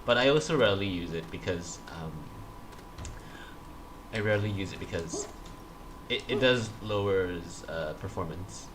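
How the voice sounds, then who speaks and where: A groggy man chatting slowly on a gaming livestream, his voice sitting a little low. He laughs often and trips over restarts, with steady noise behind him. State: groggy, just woke up. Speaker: streamer co-host. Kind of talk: casual gaming livestream chat.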